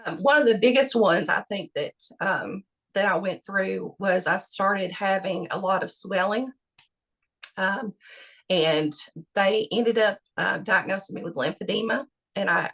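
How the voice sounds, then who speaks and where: A woman with a gravelly voice answering interview questions about her health, halting and full of fillers. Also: medium pitch, fairly bright tone. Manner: halting, many fillers and restarts. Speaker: patient guest.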